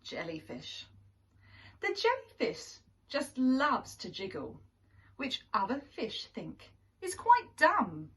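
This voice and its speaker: Woman teacher reading a kids picture book, really up and down voice, unhurried, mic a bit far away. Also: sits high. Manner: animated, careful storytelling with deliberate pauses. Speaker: primary school teacher reading to pupils. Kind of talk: expressive children's picture book read-aloud. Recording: distant microphone.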